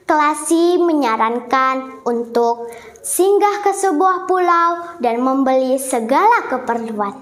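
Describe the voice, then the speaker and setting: A female storyteller telling a folktale in a theatrical, deliberate way, in a roomy, echoing recording. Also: high-pitched.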